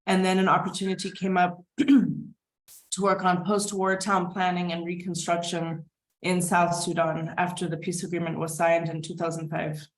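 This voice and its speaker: A woman from the United States speaks in a lowish voice over a video call. She talks through her background in a relaxed, thoughtful way, with plenty of fillers. The room around her is echoey. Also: moderate pace. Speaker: guest, permaculture designer. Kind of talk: conversational video-call interview.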